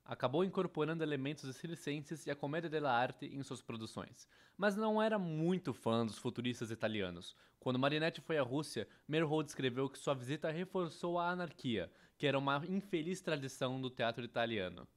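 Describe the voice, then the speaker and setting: A man's voice: on the high side, animated, brisk, hardly any pauses. A man with a Brazilian accent presenting an educational course in scripted narration. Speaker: educational presenter dubbing a theatre history course.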